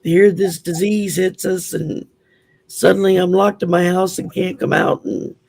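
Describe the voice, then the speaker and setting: A man with a US Southern accent talks in a relaxed, chatty way, sharing stories as a guest. His voice is growly and heavily gravelly, pitched on the higher side for a man, with a husky, raspy edge. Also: measured pace, dry recording. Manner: chatty, open, storytelling. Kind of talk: casual chat.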